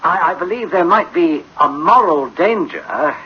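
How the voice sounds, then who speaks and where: A man's voice: fairly high pitch, expressive, moderate pace, breathy edge. A man playing an earnest, worried objector in a radio drama, hesitant and stammering, on a muffled old recording. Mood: earnest, uneasy. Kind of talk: 1960s-style radio drama acting.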